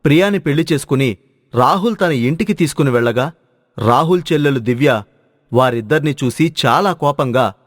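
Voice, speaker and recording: Man narrating, mid-pitched and dry. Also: lively intonation, brisk, dry recording. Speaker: story narrator. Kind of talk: scripted moral story narration.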